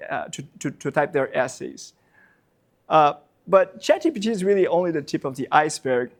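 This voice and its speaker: A slightly high-voiced man with a Brazilian Portuguese accent, a non-native speaker, giving a public talk as a professor. He speaks haltingly, stacking fillers and repeating words as he searches for phrasing. Heard in a public library talk room. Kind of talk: public lecture.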